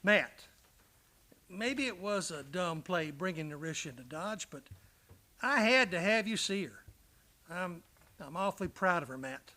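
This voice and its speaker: An actor playing a rough, hearty, affectionate frontiersman with folksy speech in a western radio play. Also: high-pitched, animated, measured pace, long pauses, pushed and bright, full character voice. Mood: sincere.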